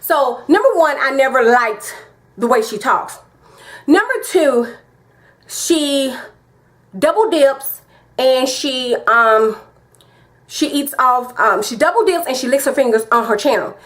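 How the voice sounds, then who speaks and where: A woman's voice: high-pitched, expressive, takes it slowly, pauses often, a bit bright. A woman with a Southern American accent giving her opinion in a casual vlog, emphatic and repetitive, talking straight to her viewers. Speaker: vlogger.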